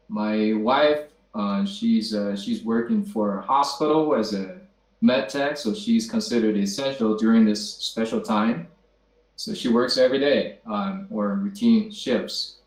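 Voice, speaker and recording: Measured Chinese-born man, echoey call. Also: medium pitch. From China. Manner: measured, with frequent uh fillers. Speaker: guest, company founder. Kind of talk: self-introduction. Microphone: video call. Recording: roomy echo.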